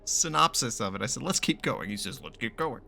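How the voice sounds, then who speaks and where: Casting: male game streamer, lively swooping intonation, bright forceful tone, blunt and sweary, faint music behind. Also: mid-range voice, fairly quick, gravelly. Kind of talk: casual gameplay reaction commentary.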